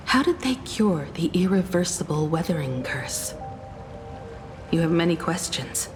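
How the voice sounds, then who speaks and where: Solemn, defiant woman in long reverb. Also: lowish voice. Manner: measured, grave, accusing. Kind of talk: video game voice acting.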